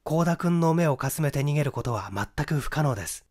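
A man voicing a methodical, assured young amateur detective in an audiobook, with deliberate, emphatic explanation. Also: fairly high pitch, animated, a little mellow. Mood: deliberate reasoning.

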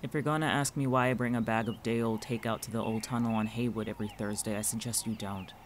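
A man's voice: lowish voice, steady tone, quick pace, natural voice. Man acting dry, sarcastic college student, noisy background. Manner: dry, curt, sardonic. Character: Vincent, guarded, sarcastic male college pre-med student. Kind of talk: fiction podcast acting.

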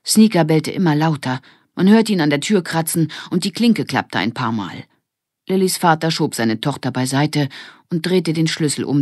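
A woman's voice: low-pitched, darkish tone, dry recording, studio quality. A woman reading a scripted children's story as an audiobook narrator.

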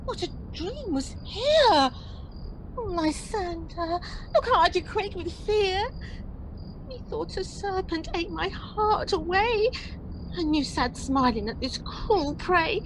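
A frightened young noblewoman played by a woman speaks extremely high and slowly. It is theatrical Shakespearean radio acting, with clear night noise around her. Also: expressive, full character voice. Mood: shaken, fearful. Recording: noisy night ambience with insects.

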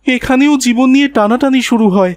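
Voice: sits high, a little fast, darkish tone, no room sound, studio quality, lightly acted. A performer voicing a polite, earnest young village boy in a cartoon. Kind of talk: cartoon dialogue.

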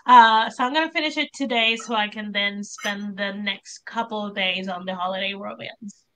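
A woman's voice: mid-range voice, fairly even intonation, normal speed, pushed and bright, poor audio. A woman co-hosting a writing stream, chatting in a friendly way about her work on a video call. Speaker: co-host, writer. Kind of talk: casual chat on a video call.

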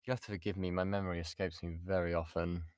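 A man's voice: low-pitched, level tone, fast, clean tone, dry recording, clean studio sound. A British man, a voice actor, chatting informally and self-deprecatingly as he answers questions in an online workshop. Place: insulated padded home booth. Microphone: XLR studio microphone.

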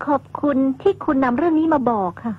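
A woman acts a cool, dryly amused wife in a drama. She brushes things off with breathy little laughs. Her voice is very high, and the recording sounds dull and lo-fi. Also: fast talker, lightly acted. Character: cool, mocking wife of a cheating husband.

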